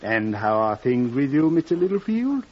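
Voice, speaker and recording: A nervous, husky-voiced gentleman doctor. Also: medium pitch, very animated. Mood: nervous, ingratiating. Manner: halting, distracted, curt. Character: nervous, evasive gentleman doctor hiding a crime. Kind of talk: 1950s radio drama acting. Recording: dull vintage 1950s radio recording.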